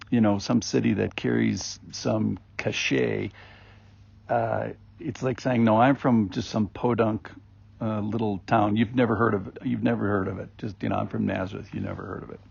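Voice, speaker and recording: A man giving an informal daily Bible reflection as a podcast host, rambling and conversational with many ums and restarts, in a gravelly, husky voice. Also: fairly low pitch, a little mellow, no echo. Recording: dull lo-fi recording.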